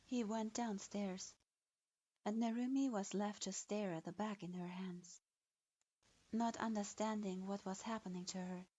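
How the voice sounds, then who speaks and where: A woman narrating a dark fan-fiction story in a slow, measured reading voice. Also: mid-range voice, fairly even intonation, dull audio. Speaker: fanfiction reader.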